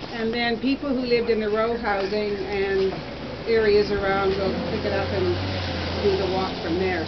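An older woman guiding a walking tour outdoors, introducing people to a group without a microphone. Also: medium pitch. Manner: direct, speaks without a microphone. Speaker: tour guide and former resident. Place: outdoors on a city street. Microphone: phone camera close by, no microphone. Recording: dull phone audio with street noise.

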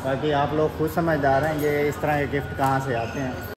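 A man's voice: on the high side, fairly even intonation, moderate pace, music in the background. A man chatting casually and joking with his friend and viewers while vlogging outdoors in a city. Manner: joking, chatty, addresses viewers as guys and bro. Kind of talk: casual travel vlog chat. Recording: noisy street ambience.

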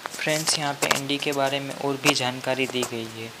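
A man's voice: mid-range voice, steady tone, a little fast, very noisy. A man with an Indian accent hosting a gaming channel, giving commentary over game footage. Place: voice-over on game screen capture. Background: background music.

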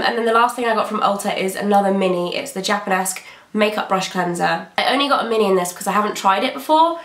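A young British woman chatting through a beauty haul, her voice slightly croaky from a cold. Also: mid-range voice, fairly bright tone, echoey room. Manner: chatty, gushing about products. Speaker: beauty vlogger. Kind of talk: casual haul video chat. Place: bedroom filming set indoors.

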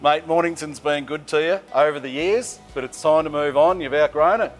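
A man's voice: a little high, a little fast. An Australian man in his sixties hosting a boating show, interviewing guests in a workshop and at a marina with upbeat, leading questions. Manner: hearty, upbeat, leading questions ending in "isn't it?". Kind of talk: friendly on-location interview. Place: boat workshop and outdoor marina. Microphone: lapel mic. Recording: faint background music.

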